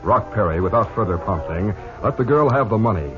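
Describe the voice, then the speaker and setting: Animated low-voiced male radio narrator. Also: a little fast. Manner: formal, storytelling delivery. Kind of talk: scripted dramatic narration, 1950s radio. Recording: dull old radio broadcast, music behind.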